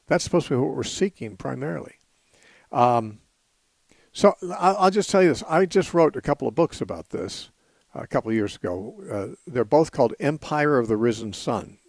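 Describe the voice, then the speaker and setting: An earnest man teaches scripture on a call-in radio show in a mid-pitched voice. He talks steadily, stopping often to restart his sentences. The sound is dry and close, with no room around it. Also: darkish tone, no room sound.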